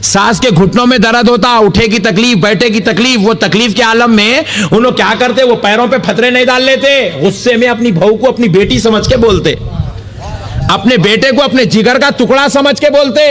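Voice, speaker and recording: A young man preaching a sermon, telling a humorous story in an animated way. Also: very high voice, normal speed. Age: young adult. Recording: live gathering with audience noise. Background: audience reactions.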